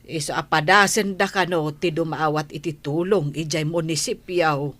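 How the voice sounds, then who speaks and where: A woman's voice: sits low, steady tone, moderate pace, fairly bright tone. A woman with an Ilocano accent playing a concerned, sighing older village wife and mother in a radio drama. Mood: sympathetic.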